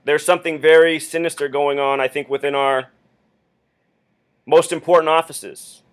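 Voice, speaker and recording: Insistent man with a slightly high voice. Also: level tone, moderate pace, clear, resonant tone. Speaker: caller phoning the police with a theory. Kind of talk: earnest explanatory phone monologue.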